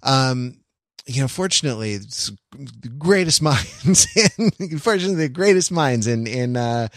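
A man's voice: medium pitch, animated, a little slow, no echo, studio quality. A man co-hosting a culture podcast, talking casually, rambling with many fillers, restarts and jokey asides. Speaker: podcast co-host. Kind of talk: casual conversational commentary.

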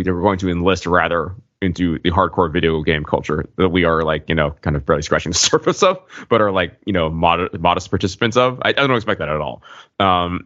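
Low-voiced man, quick and halting. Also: a bit bright, dry recording, lo-fi sound. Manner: halting, repeats words as he thinks. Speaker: podcast co-host. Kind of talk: casual analytical podcast discussion.

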